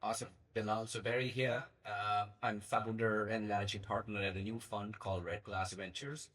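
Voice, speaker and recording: A man from Pakistan, a fund founder, explaining the panel to the audience in a relaxed way. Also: fairly low pitch, moderate pace.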